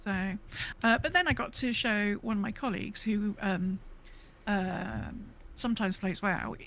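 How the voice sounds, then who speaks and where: A woman chatting casually as a podcast host, telling stories in an animated way and acting out what people said. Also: mid-range voice, unhurried, slight background noise. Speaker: veterinary clinic worker. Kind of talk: casual chat.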